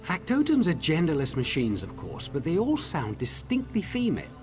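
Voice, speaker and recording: A man playing an eager, scholarly researcher of clockwork machines in a video game, with an expressive, explanatory manner. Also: a little high, normal speed, music in the background. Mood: musing. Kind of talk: video game character dialogue.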